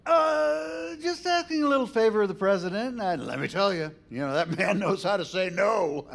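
High-pitched, flamboyant boozy charmer. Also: male voice, lively intonation, moderate pace, creaky, a bit bright, full character voice. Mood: bluffing, jovial. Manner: flamboyant, rambling, jokey bluster. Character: boozy, fast-talking, folksy charmer of a Washington insider. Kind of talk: audio drama acting.

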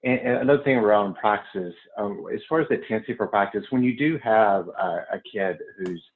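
A man asking a coach for advice, hesitant and halting as he works out his questions. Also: lowish voice. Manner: hesitant, many fillers and restarts while asking. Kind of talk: casual question-asking on a call. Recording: tinny phone line.

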